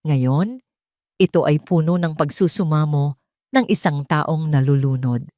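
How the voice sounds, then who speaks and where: A woman's voice: sits low, a little mellow, no room sound, studio quality. A woman reading a scripted first-person story as a dramatic narrator.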